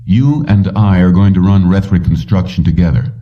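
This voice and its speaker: A man's voice: deep voice, moderate pace, voice pushed up, lightly acted. A man voicing a determined, defiant male mechanic in an audiobook, firm and insistent, on a dull recording. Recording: muffled, distant-sounding dull recording.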